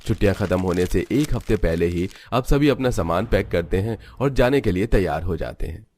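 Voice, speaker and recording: Low-pitched man narrating a story. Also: animated, a little fast, darkish tone. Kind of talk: scripted Hindi family-story narration.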